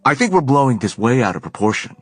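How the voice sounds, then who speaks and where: A man's voice: medium pitch, big pitch swings, fast talker, slightly rough, no echo, voice pushed up. A man voicing a guarded young man who speaks tersely. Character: guarded young male Shadowhunter on vacation.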